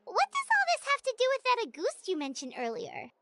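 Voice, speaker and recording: A woman voicing a small, excitable, curious fairy companion in a video game, exclaiming like a child. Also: extremely high pitch, a little fast, music in the background, heavily acted. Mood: puzzled, surprised. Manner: exclamatory, speaks of herself in third person. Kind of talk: video game character acting.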